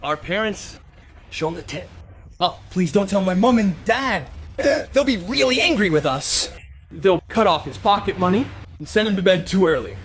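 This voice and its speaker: A man's voice: sits high, lively intonation, normal speed, slight background noise. A young man playing a cocky teenage bully, brash and sneering with mock whining, in an audio drama.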